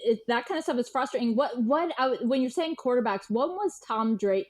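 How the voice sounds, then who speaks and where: A woman with a fairly high voice talks casually as an interview guest. Her delivery is very informal and colloquial, full of "like" and little quoted reactions. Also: lively intonation, brisk. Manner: informal, peppers speech with "like", animatedly quotes others. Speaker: journalist and author, podcast guest. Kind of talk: casual chat.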